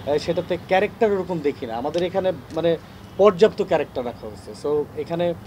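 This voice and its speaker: A man with a fairly high voice answers an interview haltingly as an actor. Faint outdoor noise can be heard behind him. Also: expressive, mellow. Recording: faint birds and street noise.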